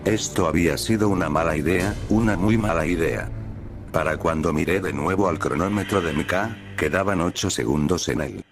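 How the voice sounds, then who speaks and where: A man with a deep, low voice narrates a scripted horror story in the first person. Music plays clearly behind his narration. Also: normal speed. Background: prominent music bed.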